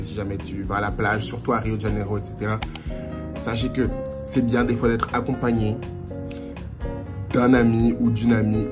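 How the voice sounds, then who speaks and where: Chatty young Frenchman with music underneath. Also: medium pitch. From France. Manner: chatty and animated, slips into Portuguese phrases. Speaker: vlogger. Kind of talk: casual advice vlog.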